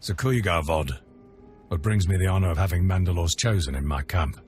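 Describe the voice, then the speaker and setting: Male actor as warrior officer, low voice, stern, curt, proud, game scene with faint music. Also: a little fast, close to an everyday voice. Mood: stern, dismissive. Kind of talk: video game acting.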